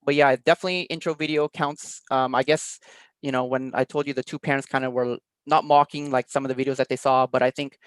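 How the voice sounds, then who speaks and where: A man's voice: medium pitch, level tone, quick pace, clear, resonant tone, no echo. A man chatting casually as an interview guest, explaining his work as an online teacher in loose, half-finished thoughts.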